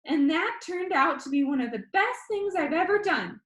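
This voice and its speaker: A woman voicing an energetic, upbeat, slightly weird young male video host in an audiobook, with bubbly, emphatic, encouraging delivery. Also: very high-pitched, moderate pace, reverberant, voice pushed up. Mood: earnest, upbeat. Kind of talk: theatrical audiobook character voice.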